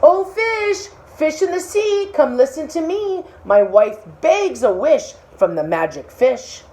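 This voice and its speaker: A woman's voice: sits high, sing-song, slow pace, mellow, pitched above the performer's own voice, full character voice. A woman voicing a meek, reluctant fisherman husband from a storybook, plaintive and sing-song. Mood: pleading.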